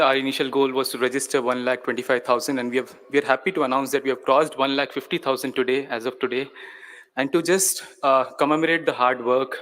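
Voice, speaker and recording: A man's voice: mid-range voice, a bit bright. Polite man hosting an event. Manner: polite, formal announcing. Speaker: master of ceremonies.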